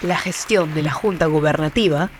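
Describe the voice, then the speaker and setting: A woman's voice: deep voice, moderate pace, some chatter behind, slight background noise. A woman reading scripted historical documentary narration in a formal style.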